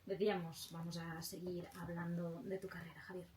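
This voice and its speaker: Lowish-voiced Spanish woman interviewer. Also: little pitch movement, normal speed, a little mellow, a little hiss or hum. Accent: Spanish from Spain. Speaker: interview host. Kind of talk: conversational interview questioning.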